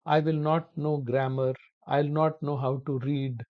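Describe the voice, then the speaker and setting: A man teaching a spoken-English lesson to viewers, talking slowly and deliberately and calling them his dear friends. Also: on the high side, little pitch movement. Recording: dull lo-fi audio.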